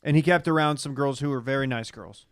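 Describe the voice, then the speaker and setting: A man's voice: medium pitch, quick pace, smooth, no room sound, studio quality. A man chatting casually and giving his opinions about a reality TV show.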